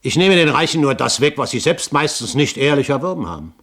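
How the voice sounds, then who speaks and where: Older pirate captain, aristocratic, pompous, cunning, eloquent, theatrical 1970s German radio play delivery. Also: male voice, on the high side, expressive, fairly quick, a bit bright. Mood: indignant.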